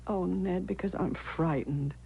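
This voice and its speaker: An actress playing an anxious, devoted young wife in an old-time radio drama, with earnest, dramatic delivery. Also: female voice, on the low side, a little slow, gravelly, breathy edge, full character voice. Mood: frightened, haunted. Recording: dull vintage radio recording.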